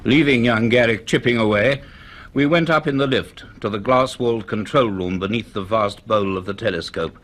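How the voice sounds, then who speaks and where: An actor playing a grave, dryly authoritative professor in a radio serial, speaking in a measured, formal way. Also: male voice, fairly low pitch, fairly bright tone. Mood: grave, reflective. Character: grave, dryly authoritative male university professor recording his notes. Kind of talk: dramatised narration in a radio serial.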